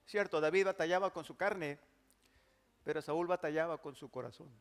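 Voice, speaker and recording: High-voiced pastor in a reverberant church. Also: male voice, fairly even intonation, moderate pace. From Mexico. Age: 60s. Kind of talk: sermon. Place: large church hall. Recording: long church hall reverb.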